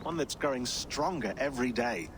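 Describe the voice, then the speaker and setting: An actor playing a wry, talkative modern expert in a game cutscene, explaining things conversationally. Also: male voice, mid-range voice, lively intonation, moderate pace, natural voice. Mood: intent, explaining. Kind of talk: naturalistic game cutscene acting. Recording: clear ambient noise behind.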